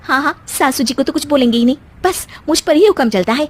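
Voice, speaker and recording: A feisty young daughter-in-law from a cartoon family comedy, acted by a woman. Her voice is very high and lively, swinging up and down as she bickers. She sounds sulky, sarcastic and indignant. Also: quick pace. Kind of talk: animated family comedy story acting.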